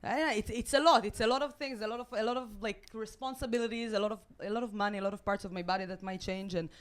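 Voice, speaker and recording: A woman in her late twenties from Israel, with an Israeli accent and Hebrew as her first language, performing stand-up with deadpan, self-mocking delivery and halting restarts. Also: medium pitch. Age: late 20s. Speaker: stand-up comedian. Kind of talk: stand-up routine. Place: comedy club stage with a live audience.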